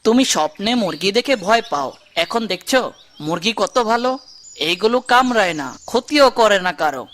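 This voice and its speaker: A woman voicing a caring young guardian in a children's cartoon, coaxing and gently teasing, with a Bangladeshi accent. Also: medium pitch, animated, brisk, background noise, natural voice. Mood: reassuring.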